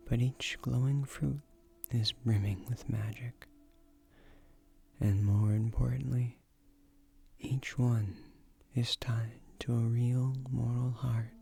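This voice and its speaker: A man playing an ancient magical guardian of a tree in a fantasy audio roleplay, soft-spoken, wry and gently formal, with measured delivery. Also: medium pitch, creaky. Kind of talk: slow soothing fantasy audio roleplay, scripted.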